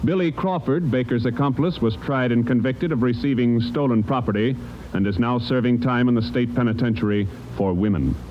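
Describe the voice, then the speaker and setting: A man's voice: mid-range voice, brisk. A man working as a radio announcer, reading a formal script in 1950s broadcast style. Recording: dull vintage radio recording, loud music.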